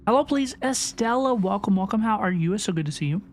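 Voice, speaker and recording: A woman's voice: medium pitch, expressive, fast talker. A woman hosting a gaming livestream, chatting casually and often repeating phrases for emphasis. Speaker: livestream host. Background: game music.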